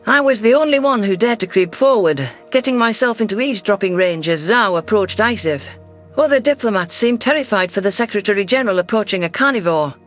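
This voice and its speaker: Male narrator with a high voice. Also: fairly bright tone. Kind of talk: scripted audio drama narration.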